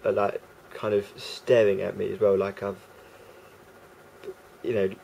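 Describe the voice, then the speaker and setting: Guy with a croaky gravelly voice rambling on in a vlog, lots of ums, faint hum in the background. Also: lowish voice, flat delivery, unhurried, darkish tone, poor audio. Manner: rambling, hesitant, full of fillers and restarts. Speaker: vlogger. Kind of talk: casual monologue to camera.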